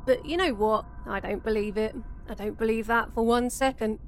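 A woman's voice: fairly high pitch, fairly even intonation, normal speed, a little hiss or hum, natural voice. A woman playing a bitter, sardonic podcast host in an absurd comedy audio drama, jaded and mock-solemn.

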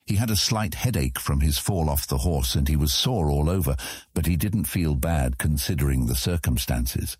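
Male narrator, very low and husky, scripted epic fantasy audiobook delivery, dry studio sound. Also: expressive, moderate pace, darkish tone, no room sound.

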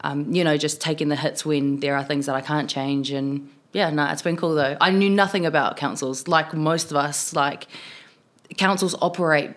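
A woman's voice: low voice, fairly even intonation, moderate pace. A woman in her twenties from New Zealand with a New Zealand accent, a city councillor chatting casually in a podcast interview, full of "um" and "like". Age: 20s. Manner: chatty, many fillers like "um" and "like".

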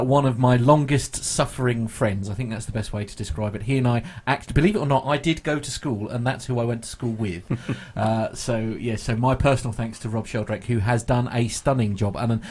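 Male UK co-host, husky, chatty joker. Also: mid-range voice, a little fast, hardly any pauses, darkish tone. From United Kingdom. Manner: jokey, rambling, laughs often. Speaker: show co-host. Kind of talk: casual live-stream chat.

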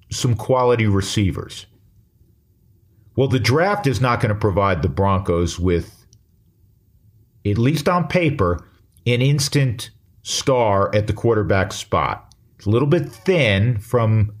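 An American man giving an opinion monologue as a sports radio host, measured and emphatic, often posing questions and answering them himself. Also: on the low side.

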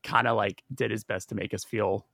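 Male podcast host, gravelly, chatty and rambling, dry close mic. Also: on the low side, level tone, normal speed, a bit bright, no echo. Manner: chatty, loose, many fillers and restarts. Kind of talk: casual podcast interview.

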